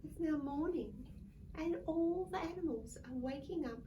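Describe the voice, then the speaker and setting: A woman reading a picture book aloud to young children in a playful, expressive way, drawing out words and acting out sounds. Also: high-pitched, normal speed. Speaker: children's story reader. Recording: distant mic with faint background noise.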